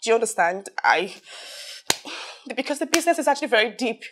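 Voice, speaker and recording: A young Nigerian businesswoman in a film drama, with a high voice. She is domineering and sorry for herself, and she pleads and repeats herself with great drama. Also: very animated, fast talker, background noise. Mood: tense, explaining. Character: self-pitying, domineering young businesswoman housing her friends. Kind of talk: emotional film drama acting.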